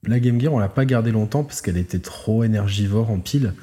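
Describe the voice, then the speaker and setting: A man's voice: on the low side, fast, mellow. A man co-hosting a podcast, chatting casually and rambling with many restarts. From southern France. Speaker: podcast co-host. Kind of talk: casual chat.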